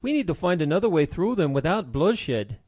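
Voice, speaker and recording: A man's voice: a little high, sing-song, fairly quick, a little mellow, dry recording, clean studio sound. A man voicing a cautious Scottish adventurer in an audiobook, grumbling and argumentative. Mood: wary, insistent. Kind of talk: audiobook character voice.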